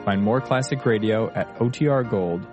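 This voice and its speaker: A man's voice: on the low side, normal speed, highs cut off, over loud music. A man reading a scripted radio network announcement.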